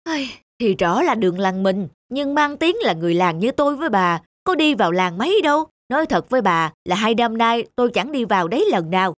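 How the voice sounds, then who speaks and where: A man's voice: sits high, animated, a little fast, hardly any pauses, a bit bright, no room sound, clean studio sound. An actor voicing a stingy, hot-tempered old village man in a cartoon, grumbling and indignant. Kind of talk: animated moral tale voice acting. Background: background music.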